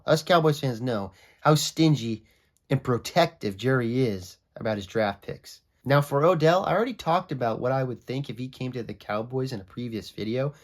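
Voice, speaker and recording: A young man with a bit of a cold, so his voice comes out a little raspy. he talks through his football takes in a loose, opinionated way, his pitch jumping up when he gets fired up. it has the feel of a casual solo video chat. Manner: opinionated, conversational, animated pitch swings. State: sick, raspy voice. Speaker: sports vlogger. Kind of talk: casual sports commentary.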